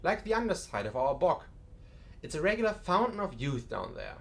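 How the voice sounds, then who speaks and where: A man's voice: high-pitched, very animated, fairly quick. A man playing a genial, wry museum curator in an audiobook drama, chatty and dryly joking with a macabre humour. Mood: wryly amused.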